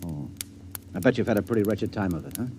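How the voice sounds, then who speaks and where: An actor playing a skeptical, easily astonished middle-aged friend in a 1950s radio drama, chummy and full of questions. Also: male voice, fairly low pitch, expressive, quick pace. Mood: curious, skeptical. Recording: noisy vintage 1950s radio recording.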